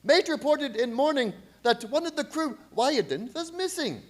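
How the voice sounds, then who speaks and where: A man playing a grim, fearful foreign ship captain in a radio play, reading his log in clipped, halting broken English. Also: very high-pitched, sing-song. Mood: grim, terse. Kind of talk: dramatic radio play narration.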